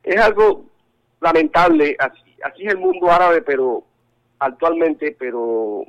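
A man's voice: on the high side, clean tone. Colombian man speaking over a phone line. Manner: halting, many restarts and fillers. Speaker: interview guest. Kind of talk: phone interview. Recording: telephone line.

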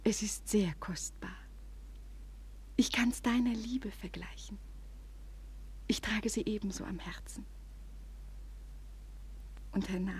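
A very breathy young woman in love speaks slowly and softly. She is tender and fervent, often near a whisper, in the theatrical style of a 1940s radio drama. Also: medium pitch. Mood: shy, tender. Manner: slow, fervent, theatrical delivery. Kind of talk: 1940s German radio play acting. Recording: old, husky-sounding 1940s radio recording.

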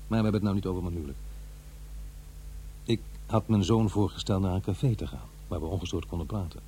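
A man's voice: low-pitched, dark tone, a little hiss or hum, natural voice. An actor playing a practical, sceptical middle-aged divorced father in a Netherlands Dutch radio play, both talking and narrating. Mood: reminiscing.

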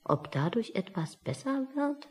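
A woman's voice: fairly high pitch, very animated, unhurried, darkish tone, breathy edge, full character voice. A woman acting a questioning, wry character in a radio play, with a probing, dry way of talking. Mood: wry, doubtful. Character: questioning, wry woman waiting outside a meeting.

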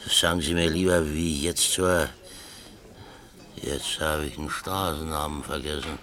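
An actor playing a gruff, blunt, grumbling old working man in a German radio play. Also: extremely low pitch, fairly even intonation, unhurried, bright, forceful tone, full character voice. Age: older.

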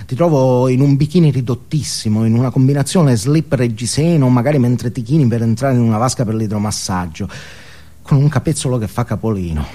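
Male actor as neurotic confessor: self-absorbed, ashamed, stammering, mellow middle-pitched voice, comic theatre monologue. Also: close to an everyday voice. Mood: obsessive, yearning. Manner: halting, stammering, repeating words, self-justifying.

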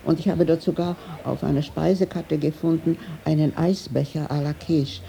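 An elderly woman with a low, gravelly voice, telling anecdotes in a wry, emphatic way. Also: fairly even intonation, moderate pace, warm tone. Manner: wry, emphatic storytelling with rhetorical tags. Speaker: interviewee, writer sharing recollections. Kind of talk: spontaneous anecdotal recollection.

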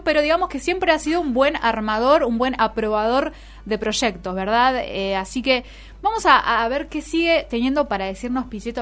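A woman with an Argentine accent hosting a radio show, chatting casually about politics. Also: on the high side. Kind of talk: casual political commentary chat.

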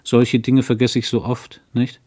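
A man's voice: medium pitch, completely flat delivery, measured pace, darkish tone, breathy edge. A man reading a restless, self-doubting young newlywed wife in an audiobook, her inner thoughts partly whispered. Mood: bright evasive.

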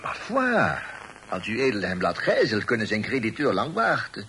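An actor playing a deferential, fussy informant in a radio play, affectedly formal and hesitant, dropping French phrases. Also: male voice, on the low side, wildly swooping pitch, normal speed, pushed and bright, full character voice. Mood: officious, deferential. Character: deferential, fussy male police informant peppering speech with French. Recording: faintly noisy old radio recording.

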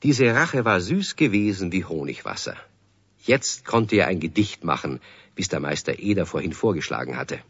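A male narrator telling a children's story in a low, husky voice. His delivery is measured yet lively and expressive. The sound is dry and slightly dull, like vintage radio. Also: dry recording, exaggerated voice. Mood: wry storytelling.

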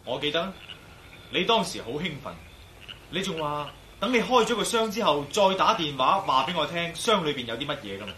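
A man's voice: on the high side, sing-song, a little fast, pushed and bright, a little hiss or hum. An actor in a radio drama playing a determined, inquisitive male adventurer, speaking earnestly and with assurance in Hong Kong Cantonese. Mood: thoughtful.

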